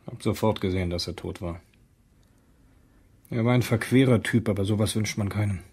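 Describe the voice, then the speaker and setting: Low, husky, blunt lighthouse keeper. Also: male voice, fairly quick, a little mellow. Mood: scornful. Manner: blunt, disdainful. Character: blunt, scornful male lighthouse keeper, ex-navy. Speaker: actor in an audio drama. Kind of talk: German crime radio play acting.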